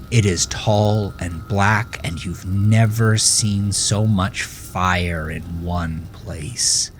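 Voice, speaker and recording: A man narrating a horror story with a grave, deliberate, suspenseful delivery. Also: sits low, noisy background. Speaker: author and narrator of horror podcast. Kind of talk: dramatic second-person horror story narration.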